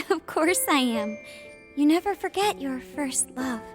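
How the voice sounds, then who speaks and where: A woman playing a gentle, earnest, devoted young princess in a video game cutscene. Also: high-pitched, animated, measured pace, soft background music. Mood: fond, playful.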